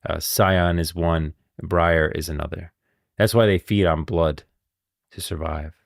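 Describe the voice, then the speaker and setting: A man's voice: low-pitched, steady tone, measured pace, gravelly, no echo, clean studio sound. A man live-streaming casual commentary on a game, explaining in a conversational way and often rephrasing himself.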